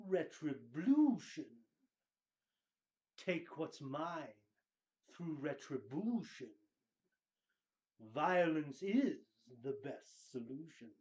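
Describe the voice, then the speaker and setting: A man giving a hammy, grandiose dramatic reading, drawing out his phrases with theatrical pauses. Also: a little high, very animated, darkish tone, highs cut off.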